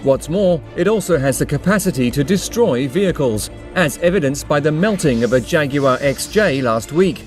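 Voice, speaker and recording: A man's voice: fairly high pitch, expressive, moderate pace, hardly any pauses. A man reading scripted explainer narration. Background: background music.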